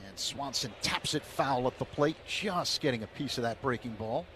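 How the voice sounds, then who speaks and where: A man doing radio play-by-play of a baseball game, measured and rising in energy on big plays. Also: medium pitch, lively intonation, a bit bright, a little hiss or hum. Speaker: play-by-play announcer.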